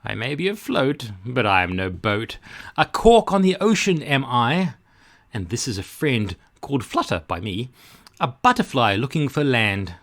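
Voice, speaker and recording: A man's voice: medium pitch, sing-song, moderate pace, fairly bright tone. A man voicing a small, contented storybook cork that muses philosophically in rhyme. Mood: calm, assured. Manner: rhyming, philosophical. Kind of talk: storybook character read-aloud.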